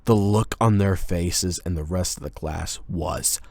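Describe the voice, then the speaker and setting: A man acts out a rebellious male high school delinquent in an anime-style audio roleplay. The voice sits low and is captured very dry, with no room sound. He talks casually and irreverently, with sardonic, slangy swagger. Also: a little slow, a little mellow, no echo. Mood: smug, amused.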